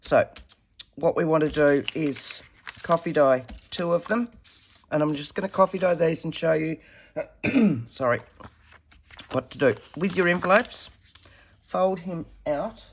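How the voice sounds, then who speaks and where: Slightly croaky woman crafting. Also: low voice, lively intonation, measured pace, pauses often, slight background noise. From Australia. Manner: chatty, talks while working with her hands. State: slightly croaky from a head cold. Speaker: craft tutorial host. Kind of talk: casual craft demonstration.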